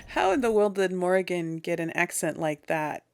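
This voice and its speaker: A woman's voice: on the low side, very animated, a little slow, vocal fry. A woman doing a casual let's play, commenting and role-playing dialogue as a gaming host.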